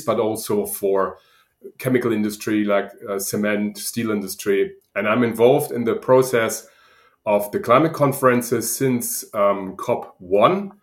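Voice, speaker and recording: A middle-aged man from Germany with a German accent, answering interview questions in a halting way with many fillers. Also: fairly low pitch. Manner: halting, many ums and uhs. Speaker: guest researcher. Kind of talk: podcast interview.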